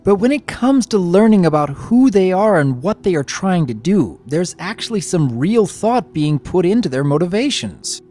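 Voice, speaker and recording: A man giving scripted review commentary with formal, measured wording. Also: high-pitched, big pitch swings, dark tone, faint music behind. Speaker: guest reviewer.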